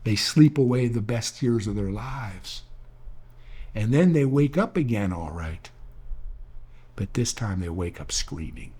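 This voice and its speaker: A man's voice: fairly low pitch, animated, unhurried, pauses often, mellow, slightly rough. An older man, an author, telling rambling, earnest stories in a reflective talk, often restarting his sentences. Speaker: author and speaker.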